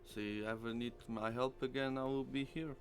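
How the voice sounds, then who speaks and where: A man's voice: medium pitch, fairly even intonation, normal speed, lightly acted. A man playing a casual, loyal, defensive streetwise character in a visual novel. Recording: clearly noisy stream with hum.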